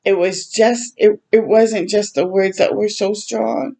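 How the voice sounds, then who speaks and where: A woman hosting a children's storytime, reading a picture book aloud in a gentle, expressive way with tender asides to listeners. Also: mid-range voice, measured pace, vocal fry. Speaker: children's read-aloud host.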